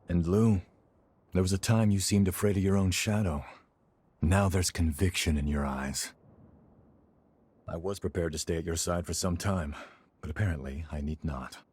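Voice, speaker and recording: An actor playing a seasoned male warrior and loyal mentor in a video game, speaking in a measured, earnest, reflective way. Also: sits low, a little mellow, breathy edge. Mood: approving. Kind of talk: video game dramatic dialogue.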